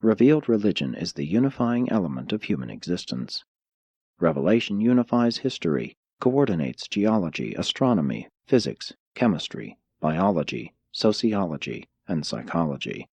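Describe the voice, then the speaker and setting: Low-pitched man reading with lively intonation. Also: moderate pace, slightly rough, dry recording. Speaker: audiobook narrator. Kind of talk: scripted reading of religious philosophy.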